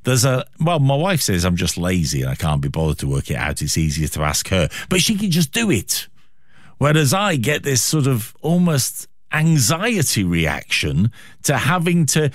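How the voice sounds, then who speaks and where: A man's voice: mid-range voice, no room sound, studio quality. A man in his sixties hosting a radio interview in a chatty, self-deprecating way. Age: 60s. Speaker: radio host.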